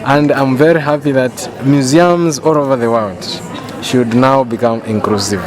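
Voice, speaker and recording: A Zambian man gives a testimonial in a mid-pitched voice. He speaks with emphatic repetition, and there is a clear murmur of people around him outdoors. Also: fairly even intonation, a little slow, a crowd in the background. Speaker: museum professional giving a testimonial.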